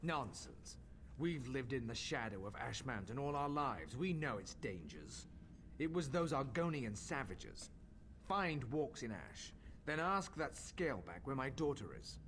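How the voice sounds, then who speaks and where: A man's voice: medium pitch, sing-song, measured pace, fairly bright tone, a little hiss or hum. A man voicing a stern, suspicious father in a video game, bitter and accusing toward outsiders. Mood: angry, accusing.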